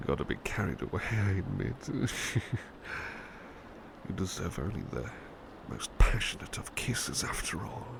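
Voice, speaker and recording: A man playing a devoted, tender butler in an intimate ASMR roleplay, speaking in a hushed, sleepy, halting voice that often slips into whispers. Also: low voice, very animated, vocal fry, noisy background, full character voice. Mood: loving, drowsy. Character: devoted, tender male butler comforting a beloved at bedtime.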